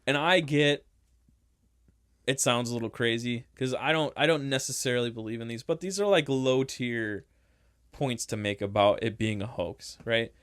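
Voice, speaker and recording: A man's voice: mid-range voice, normal speed, fairly bright tone, clean tone, dry recording, studio quality. A young man co-hosting a casual podcast, joking around and laughing often. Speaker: podcast co-host. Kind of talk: casual chat.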